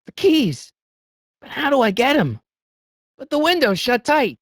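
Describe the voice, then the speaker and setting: A man voicing an earnest, excitable teenage boy adventurer in a video game, prone to stammering when flustered. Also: very high-pitched, measured pace, no room sound, clean studio sound, heavily acted. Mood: anxious, scheming.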